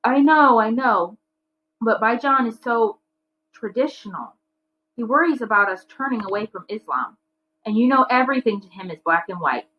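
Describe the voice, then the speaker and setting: A woman's voice: on the high side, expressive, normal speed, highs cut off, slight background noise, natural voice. A woman voicing a worried but fair father in a children's storybook, reasoning firmly. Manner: firm, reasoning.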